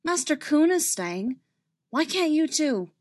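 A woman's voice: high-pitched, sing-song, takes it slowly, darkish tone, dry recording, studio quality, pitched above the performer's own voice, full character voice. A woman voicing a fearful young female Jedi who pleads in an earnest, tense way, in an audiobook drama. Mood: urgent pleading. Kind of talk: dramatic audiobook character dialogue.

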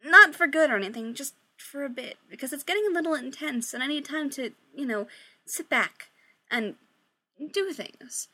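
Flustered young woman in a band, voiced by a woman, breezy and lively, high voice. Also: moderate pace. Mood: awkward. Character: young woman musician, awkwardly fibbing.

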